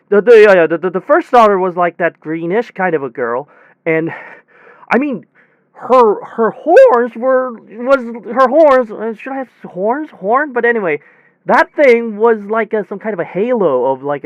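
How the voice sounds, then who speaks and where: A dull, close and dry recording of a high-pitched male technician played by a man in an audio drama. He rambles in a rattled, conversational way, stumbling over himself, repeating words and correcting what he just said. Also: no room sound. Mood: amazed, rambling. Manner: halting, repetitive, full of false starts and self-corrections. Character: rattled, rambling young technician retelling a bizarre demon encounter. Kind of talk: hobby audio drama monologue, conversational retelling.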